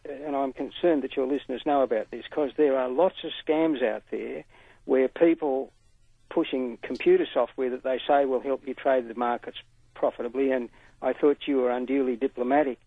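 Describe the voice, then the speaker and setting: A man's voice: mid-range voice, normal speed, no room sound. An older man calling in to a radio show, talking earnestly and at length over a tinny phone line. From Australia. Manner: earnest, emphatic, talkative. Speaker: caller.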